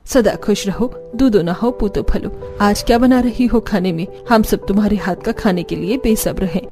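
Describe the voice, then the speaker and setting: A woman voicing a middle-aged mother-in-law in a cartoon story, brisk and no-nonsense, handing out orders in homely, scolding talk. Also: medium pitch, over music, natural voice. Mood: fond, brisk. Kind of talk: Hindi cartoon story acting.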